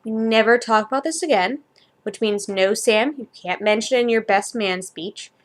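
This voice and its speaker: A fussy young groom-to-be with a high voice, read aloud by a woman in a fan fiction story. He is stern and dramatic, bossing people around in exasperation. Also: moderate pace, lightly acted. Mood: firm ordering. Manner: bossy, exasperated.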